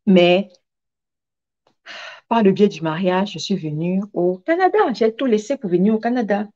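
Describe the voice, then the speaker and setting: A woman speaks as a motivational coach, in a voice of average pitch for a woman. She drives her points home emphatically, repeating key phrases as she goes. The recording is a little dull and lacking in detail. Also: animated, moderate pace, dark tone. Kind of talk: motivational talk with personal anecdotes.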